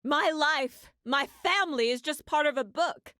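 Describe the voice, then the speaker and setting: An actress playing a determined, sharp and guarded detective and mother in a video game, with naturalistic delivery. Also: high voice, a little slow, no room sound, clean studio sound. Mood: despairing.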